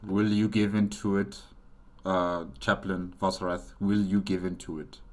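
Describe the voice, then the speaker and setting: A man's voice: deep voice, level tone, measured pace. A man hosting a reaction video with casual, rambling commentary, musingly repeating his phrases.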